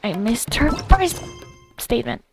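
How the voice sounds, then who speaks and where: High, creaky-voiced young woman gaming. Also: sing-song, measured pace. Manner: chatty, thinks aloud in short fragments. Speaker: game streamer. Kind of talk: casual reactive gameplay commentary. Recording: game music behind the voice.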